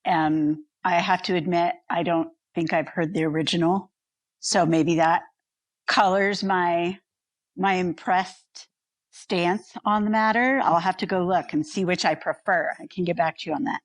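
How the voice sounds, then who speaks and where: A woman's voice: fairly low pitch, fairly even intonation, a little slow, dry recording. A woman co-hosting a podcast in casual conversation. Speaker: podcast co-host.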